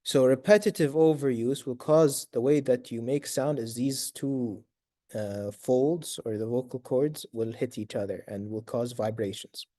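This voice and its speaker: Male medical lecturer, raspy and dark-toned, dry close sound, brisk quizzing manner. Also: medium pitch, dry recording. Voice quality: raspy from long talking. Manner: quizzes students, praises answers briskly; sprinkles Arabic fillers like tamam, inshallah. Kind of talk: lecture to students.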